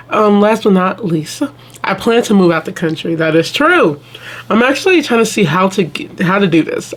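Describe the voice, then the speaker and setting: Chatty young woman vlogging. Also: fairly low pitch. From Southern United States. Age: 20s. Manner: chatty, addresses viewers as y'all, many restarts and fillers. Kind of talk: casual chat to camera.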